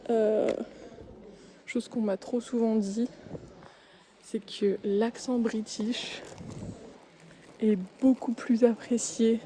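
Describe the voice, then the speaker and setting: A young woman speaking softly and often whispering while tidying shelves in a supermarket aisle, with frequent hesitations. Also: mid-range voice, slight background noise. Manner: soft-spoken, drifting into whispers, many hesitations. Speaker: ASMR creator. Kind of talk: soft-spoken ASMR while tidying shelves. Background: faint store ambience.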